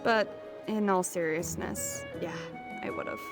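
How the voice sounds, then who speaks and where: A woman's voice: on the low side, unhurried, over loud music, close to an everyday voice. A woman voicing a brash, teasing young adventurer in an audio roleplay. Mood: frank, brisk.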